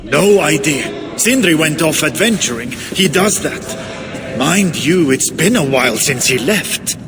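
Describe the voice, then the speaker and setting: A casual dwarf from a fantasy game, speaking colloquially. His voice is fairly high and lively in pitch. It rings in a reverberant space with noise behind. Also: slow, music in the background. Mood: offhand. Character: offhand, unbothered male dwarf settlement dweller. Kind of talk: video game voice acting. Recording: reverberant hall, noisy ambience.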